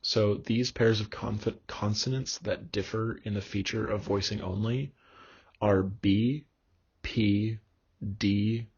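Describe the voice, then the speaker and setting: A young man delivers a recorded lecture in a slightly low, gravelly and husky voice. He explains things in a measured way, filling pauses with ums and uhs. The recording is dull and lo-fi. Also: steady tone, darkish tone.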